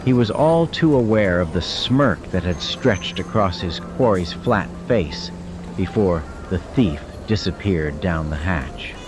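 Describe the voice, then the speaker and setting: Very deep male narrator over music. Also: expressive, a little slow. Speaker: audiobook narrator. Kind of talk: dramatic scripted fiction narration.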